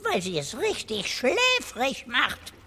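A woman's voice: high voice, wildly swooping pitch, slow pace, sharp tone, husky, heavily acted. A woman playing a theatrical, declaiming figure at a pharaoh's court in a children's audio drama. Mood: sly.

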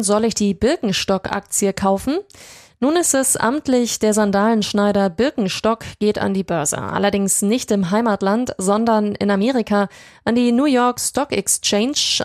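A woman news presenter reads headlines in a brisk, punchy scripted style. Her voice sits at an ordinary middle pitch. The studio sound is completely dry, with no room echo. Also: a little mellow.

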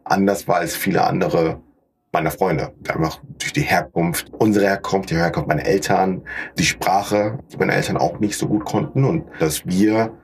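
A man's voice: deep voice, moderate pace, creaky, a little hiss or hum. A young man from Germany answering conversationally as a podcast guest, dropping English business words into his speech. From Paderborn, Germany. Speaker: podcast guest, startup founder.

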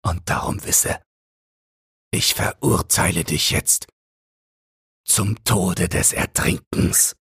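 Vengeful old father for a literary audiobook, performed by a male reader: mocking, condemning, breathy-rough voice, recorded very dry. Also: sits low, slow pace, long pauses, a bit bright, no echo, heavily acted. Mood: stern, condemning. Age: older. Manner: taunting, declamatory, condemning. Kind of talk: dramatic audiobook character reading.

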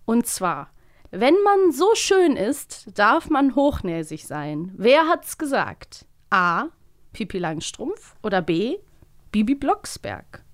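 A woman's voice: a little high, very animated, slow pace. A woman hosting a podcast in casual chat, speaking in an informal, encouraging way. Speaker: podcast host.

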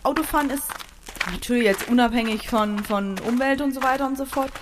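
A woman in her twenties, co-hosting a casual podcast and telling stories in a lively, giggly way, recorded on location at a mine. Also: fairly high pitch, a little fast, noisy background. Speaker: podcast co-host.

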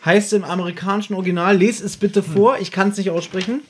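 A man's voice: high-pitched, fairly even intonation, fast, slight background noise. A man co-hosting a casual podcast chat, explaining an episode recap and checking for agreement. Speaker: podcast co-host.

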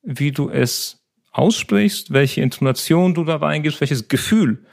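A man whose first language is Polish, a lawyer and founder, answering interview questions in a relaxed, chatty way. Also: fairly high pitch, a little slow, gravelly. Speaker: lawyer and app founder, guest.

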